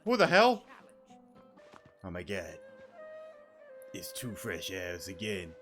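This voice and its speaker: A man's voice: deep voice, wildly swooping pitch, slow pace, a bit bright, soft background music. A young man giving casual let's play commentary, slangy, sweary and joking. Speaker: gaming YouTuber.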